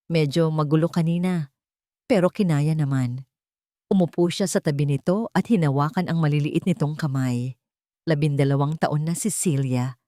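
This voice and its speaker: A woman narrates a scripted romance drama. Her voice sits low for a woman, and the sound is dry, with very little room in it. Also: mellow, no echo. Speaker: storyteller narrator.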